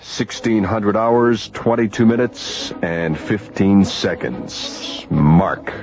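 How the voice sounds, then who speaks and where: An actor playing a composed, seasoned space captain with informal military authority in a radio drama. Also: male voice, lowish voice, slow. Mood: businesslike. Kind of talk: naturalistic sci-fi radio drama acting. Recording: dull vintage radio recording, loud music bed.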